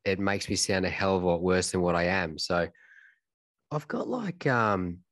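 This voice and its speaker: A man's voice: low voice, normal speed, no room sound, studio quality. A man with an Australian accent and a nasal, congested voice, chatting easily as a podcast guest. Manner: relaxed, easygoing, repeats himself. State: congested from a cold. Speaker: racing driver and podcast guest. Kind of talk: casual chat.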